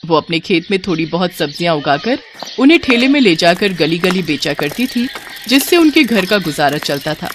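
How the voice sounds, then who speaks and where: A woman's voice: fairly low pitch, big pitch swings, normal speed, background noise. A woman storyteller reading scripted narration for a cartoon moral tale.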